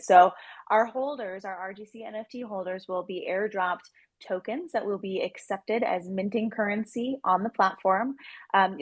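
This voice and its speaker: A woman's voice: medium pitch, no room sound. A woman speaking as an interview guest, explaining things in a casual, promotional way with plenty of "like" in her chatty delivery. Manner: chatty, explanatory, peppers speech with "like". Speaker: interview guest, project team member.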